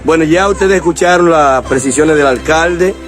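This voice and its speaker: A man reports from the field, putting questions to officials in an interview. His voice sits a little high. Faint music plays under his report. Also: normal speed. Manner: leading questions, wrapping up reports. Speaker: news reporter.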